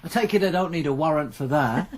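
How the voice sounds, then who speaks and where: A man's voice: fairly high pitch, expressive, fast talker, a little hiss or hum, close to an everyday voice. A man with a British accent playing a wry, blunt police detective sergeant in a naturalistic radio drama. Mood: wry, musing.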